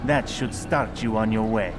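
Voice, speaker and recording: A man's voice: fairly low pitch, normal speed, natural voice. A man playing a knowing, dry informant for an assassin order in a video game, articulate and explanatory. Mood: wry, informative. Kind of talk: dramatic video game acting.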